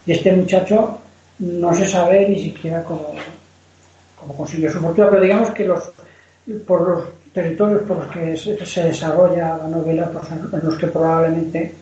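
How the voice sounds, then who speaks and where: Spanish novelist, roomy dull recording. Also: male voice, on the high side, flat delivery, moderate pace, mellow. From Spain. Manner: rambling, frequent restarts and repeated words. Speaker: novelist guest. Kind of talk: casual conversation on history. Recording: dull lo-fi sound in an echoey room.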